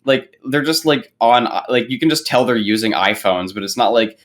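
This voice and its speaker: A young man chats about movies on a podcast at an ordinary male pitch. He talks informally, repeats and rephrases as he goes, and drops in swear words. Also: moderate pace, fairly bright tone. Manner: hesitant, repetitive phrasing, sweary. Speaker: podcast panelist. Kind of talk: casual chat about movies.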